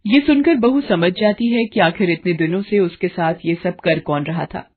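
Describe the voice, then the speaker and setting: Husky woman narrating a cartoon story. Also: on the low side, moderate pace. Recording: dull lo-fi audio.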